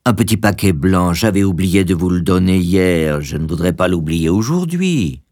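A man voicing a stiff, controlling older husband in a storybook, with a formal, fussy, unhurried manner. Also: low voice, animated, darkish tone. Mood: fussy, puzzled.

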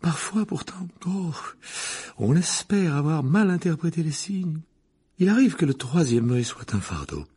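A man voicing a solemn, theatrical woman who teaches divination, with breathy, drawn-out, portentous delivery in an audiobook. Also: fairly high pitch, sing-song, darkish tone, voice pushed up, heavily acted. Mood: mournful.

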